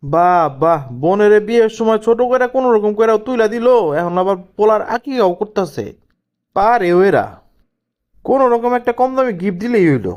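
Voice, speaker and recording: High-voiced, scornful, domineering husband played by a man. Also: sing-song, normal speed, darkish tone. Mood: sarcastic. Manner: sarcastic, dismissive. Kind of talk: cartoon family drama dialogue.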